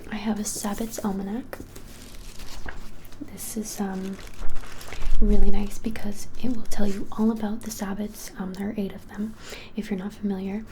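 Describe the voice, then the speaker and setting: A woman in her twenties whispering an ASMR roleplay as a shop clerk, gently and hesitantly, with many pauses. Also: medium pitch, flat delivery. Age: 20s. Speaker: ASMR creator playing a witch shop clerk. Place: plain small indoor room. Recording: clearly hissy background noise.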